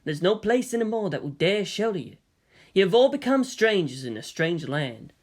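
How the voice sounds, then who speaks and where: A narrator playing a weary male army general, formal and measured, who reasons and pleads firmly. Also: medium pitch, sing-song. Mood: solemn, pleading. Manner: measured, official, placating.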